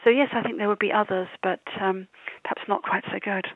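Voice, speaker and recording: A woman's voice: mid-range voice, steady tone, fairly quick, no echo. A woman professor giving an unscripted interview in tentative phrases over a narrow, phone-like connection. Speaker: history professor being interviewed. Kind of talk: spontaneous interview talk. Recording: thin phone-like band-limited line.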